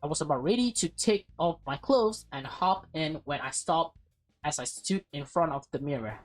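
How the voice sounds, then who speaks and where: A woman with a fairly low voice reads a visual novel aloud over prominent music. Her delivery is expressive, and she slips between narrating and offhand casual comments as host of the playthrough. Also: normal speed.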